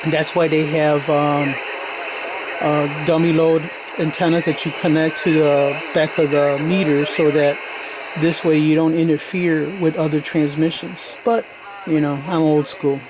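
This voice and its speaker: A man's voice: on the high side, little pitch movement, a little slow. A man giving a casual, plain-spoken, step-by-step demonstration of radio gear, like a hobbyist showing how it works. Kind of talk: casual hands-on product demonstration. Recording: noisy recording with radio static. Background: radio static and background noise.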